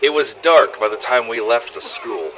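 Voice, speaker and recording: A man playing a weary, sardonic, foul-mouthed survivor of a zombie apocalypse, recording expressive first-person audio logs in a game-style drama. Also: medium pitch, moderate pace, slight background noise, lightly acted, poor audio. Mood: wry recounting. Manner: sardonic, expressive, emphatic swearing.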